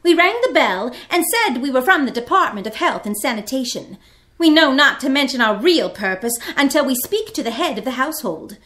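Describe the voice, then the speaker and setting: Woman acting a high, earnest teenage girl narrator. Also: big pitch swings, a little fast, fairly bright tone. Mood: earnest, crisp. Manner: vivid, theatrical storytelling. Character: earnest sixteen-year-old girl assistant telling her diary. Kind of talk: dramatic old-time radio narration.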